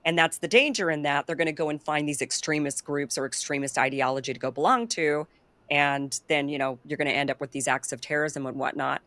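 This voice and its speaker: A woman's voice: low-pitched, fairly quick, a bit bright, no room sound. A woman hosting a political talk show, chatting in an opinionated, loose way and laughing easily. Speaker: show host. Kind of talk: casual political chat.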